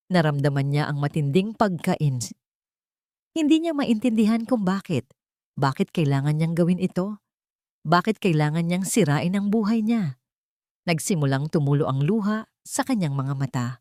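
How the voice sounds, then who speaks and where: Woman reading a drama audiobook, low voice, really dry close sound. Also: darkish tone, no room sound. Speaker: audiobook narrator. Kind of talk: scripted dramatic story narration.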